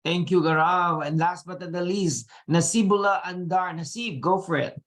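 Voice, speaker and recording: A moderator running a student panel, calling on panelists by name and prompting them briskly with questions. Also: mid-range voice, fairly bright tone.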